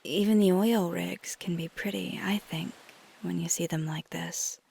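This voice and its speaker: A woman playing a flirty, tender vampire in an intimate ASMR roleplay, speaking softly and gently, mostly in a breathy whisper. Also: lowish voice, fairly even intonation, a little hiss or hum. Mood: soft wonder. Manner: slow, gentle, hesitant, teasing.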